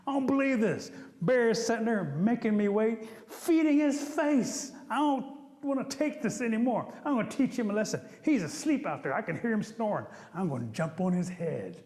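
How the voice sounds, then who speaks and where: A man voicing a small, rude, impatient rabbit in an oral folktale, whining and excitable. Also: fairly high pitch, normal speed, creaky. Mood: fuming. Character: small cheeky impatient male rabbit trickster. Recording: long hall reverb.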